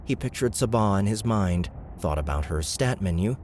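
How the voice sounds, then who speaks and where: A man narrating an audiobook in a steady, even storytelling voice. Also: on the low side, moderate pace, a little hiss or hum.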